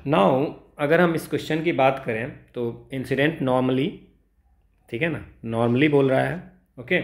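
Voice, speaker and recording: Slow-talking Indian male teacher. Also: mid-range voice. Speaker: exam physics teacher. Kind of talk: tutorial explanation mixing Hindi and English.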